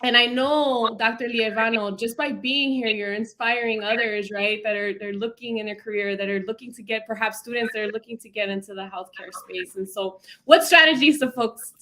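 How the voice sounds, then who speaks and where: A woman's voice: on the high side, moderate pace, hardly any pauses, bright, forceful tone, rough recording. A woman hosting a livestream, interviewing conversationally and addressing viewers in an upbeat, encouraging way. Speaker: livestream host. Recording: faint background noise, clicks.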